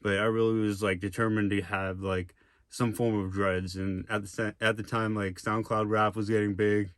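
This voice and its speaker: A man's voice: lowish voice, little pitch movement, moderate pace, no echo, clean studio sound. A young man talking casually to camera in a vlog, rambling through his story with lots of fillers and asides. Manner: rambling, with many fillers and tangents. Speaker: vlogger.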